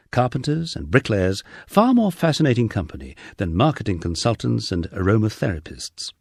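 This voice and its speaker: Expressive low-voiced man reading a memoir. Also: dry recording, studio quality. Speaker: audiobook narrator. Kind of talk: scripted narration of a humorous memoir.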